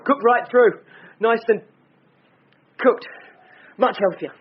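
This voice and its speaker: An actor playing a sardonic, fussy police officer in a radio drama. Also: male voice, very high-pitched, takes it slowly, slightly rough, heavily acted. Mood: nervous, fussy. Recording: dull radio recording with hiss.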